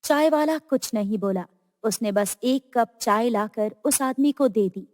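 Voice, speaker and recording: A woman's voice: fairly high pitch, a little slow, darkish tone, no echo, studio quality, big-room sound. A woman narrating a scripted horror story as the storyteller.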